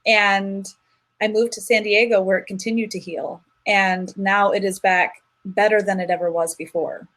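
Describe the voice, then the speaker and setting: A woman's voice: mid-range voice, fairly even intonation, moderate pace, a bit bright. Earnest woman telling a personal story. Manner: earnest and reflective, with frequent restarts. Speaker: guest, singer. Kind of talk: personal storytelling in a conversational interview.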